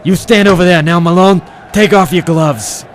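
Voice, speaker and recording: An actor as a curt, menacing gangster, higher-pitched. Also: male voice, moderate pace, close to an everyday voice. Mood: cold menace. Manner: curt, threatening, commanding. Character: menacing male gangster thug giving curt orders. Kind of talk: late 1940s radio crime acting. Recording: slightly noisy old radio recording.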